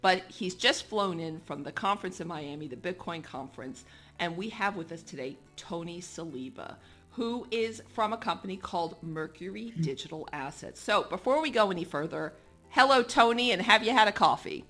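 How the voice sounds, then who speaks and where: A woman's voice: on the low side, lively intonation, moderate pace, fairly bright tone, faint music behind. A woman hosting a podcast interview in a breezy, teasing, chatty way. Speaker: podcast host. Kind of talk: casual interview chat.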